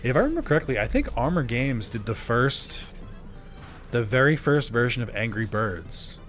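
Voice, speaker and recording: A young man streaming a game live, chatting loosely with many fillers while his voice starts to go hoarse. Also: mid-range voice, very animated, normal speed, fairly bright tone, soft background music. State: voice going hoarse. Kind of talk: casual live-stream chat while gaming.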